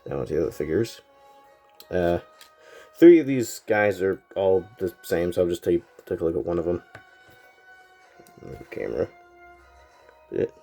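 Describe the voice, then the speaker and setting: A man's voice: deep voice, normal speed, pauses often, faint music behind. A man casually reviewing a toy set, sounding congested and a little nasal from a cold, rambling with plenty of fillers. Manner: rambling, relaxed, lots of fillers.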